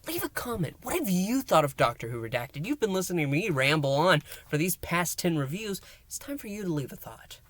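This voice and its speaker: Man reviewing with halting, emphatic delivery. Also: on the high side, very animated, fairly bright tone, no echo. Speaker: host of an audio drama review channel. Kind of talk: review and recap monologue to viewers.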